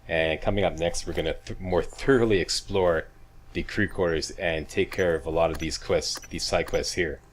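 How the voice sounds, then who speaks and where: Male walkthrough host, deep register, casual and instructive, game ambience faintly underneath. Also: steady tone, normal speed, a little hiss or hum. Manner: informal, chatty, step-by-step guiding. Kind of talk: casual video game commentary.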